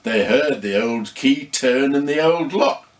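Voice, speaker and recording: A man reading a story expressively, with dramatic pauses. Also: medium pitch, animated, roomy sound. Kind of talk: scripted story narration.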